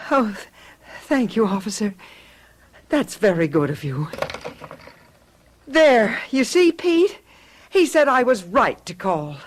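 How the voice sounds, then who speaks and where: An actress playing an anxious, hesitant wife in a radio drama, speaking in a halting, emotional way. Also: female voice, on the high side, big pitch swings, slight background noise. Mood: worried. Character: anxious, hesitant wife worried about missing neighbours. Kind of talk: old-fashioned radio drama acting.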